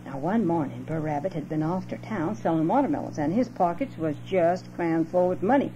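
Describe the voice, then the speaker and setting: A woman's voice: on the low side, expressive, brisk, warm tone. An older woman telling a children's story in folksy dialect, recorded at home on cassette. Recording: dull, noisy home cassette with hum.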